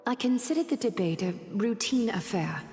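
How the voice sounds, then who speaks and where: A woman voicing a dignified, weary female mentor and leader in a fantasy game, speaking in a formal, measured, solemn way. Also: a little high, animated, a little mellow, long reverb tail, faint music behind. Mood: regretful, grave. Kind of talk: scripted game voice acting, reading a letter.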